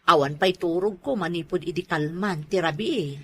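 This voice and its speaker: A woman's voice: fairly low pitch, moderate pace, a bit bright, breathy edge. Exclaiming Ilocano woman, an actress playing weary returnee. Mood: tired, chatty. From Philippines. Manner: exclaiming, haggling, complaining. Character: weary, thrifty domestic helper woman returning from Hong Kong. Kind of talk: comedy drama acting.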